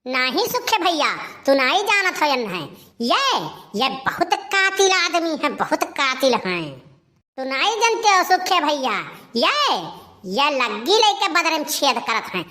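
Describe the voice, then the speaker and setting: Shrill scolding village woman. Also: sing-song, unhurried, sharp tone. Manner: scolding, exclaiming, dramatic complaints. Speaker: cartoon voice actor doing several village characters. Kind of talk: rustic village comedy dialogue.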